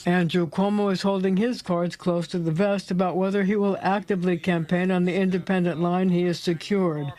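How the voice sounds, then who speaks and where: A man narrating a news report in a measured, clipped newsreader delivery. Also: high voice, level tone, a little mellow.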